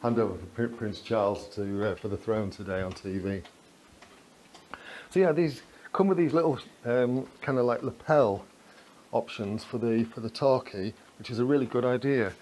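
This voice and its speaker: Chatty man vlogging over clear background noise. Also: mid-range voice, moderate pace, a little mellow. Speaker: vlogger testing walkie-talkies. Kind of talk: casual running commentary to camera. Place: corridors inside a residential building.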